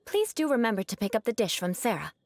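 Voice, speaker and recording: Haughty, lilting young knight. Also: female voice, medium pitch, big pitch swings, fast, no room sound. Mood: composed, polite. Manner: haughty, formal, teasing. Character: proud young noblewoman knight, aloof, teasing, mock-vengeful. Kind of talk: video game voice acting.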